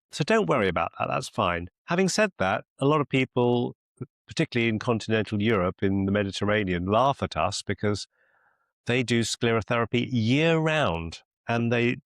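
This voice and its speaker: Deep, creaky-voiced male mentor. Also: no echo, studio quality. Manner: reassuring, explanatory. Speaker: medical mentor. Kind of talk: conversational mentoring call.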